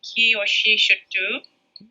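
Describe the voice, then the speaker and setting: Woman, raised in the States, preschool director, very bright tone, muffled lo-fi audio. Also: mid-range voice, little pitch movement. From Japan, raised in the United States. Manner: thoughtful, pauses often with fillers. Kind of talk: reflective interview answers.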